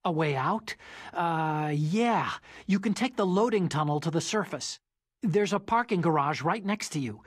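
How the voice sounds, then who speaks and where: An actor playing an earnest, excitable young American scientist ally in a video game. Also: male voice, fairly high pitch, a little slow, slightly rough, no room sound, studio quality. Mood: helpful. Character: nerdy earnest young male scientist ally, newly resolute. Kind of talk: dramatic video game radio-call acting.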